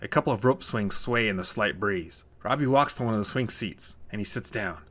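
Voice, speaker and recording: A man's voice: fairly low pitch, fairly quick, a bit bright. A man narrating a scripted short story as a storyteller.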